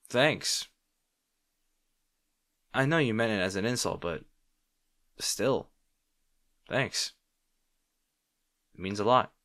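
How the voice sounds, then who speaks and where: A man's voice: fairly low pitch, fairly bright tone, no room sound, studio quality, exaggerated voice. A man playing a wry, insecure, secretly fond supervillain rival in a roleplay audio drama, talking to the listener in a hesitant, conversational, sardonic way. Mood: surprised, touched.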